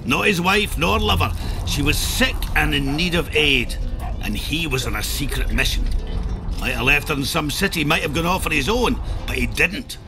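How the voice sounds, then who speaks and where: An actor playing a crude, blustering, hearty dwarf warrior telling tales in a video game. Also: male voice, fairly high pitch, animated, normal speed. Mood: storytelling. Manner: gruff, colourful, blustering. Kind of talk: video game character acting. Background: music.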